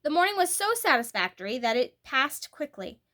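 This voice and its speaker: A woman reading a children's book aloud as a storyteller, in an expressive, animated way that leans on key words. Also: sits high, moderate pace.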